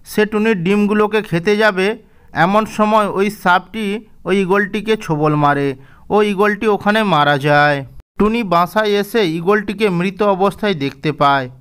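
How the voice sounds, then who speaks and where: A man narrating a cartoon fairy tale in a measured, gently didactic storybook manner. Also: sits high, smooth.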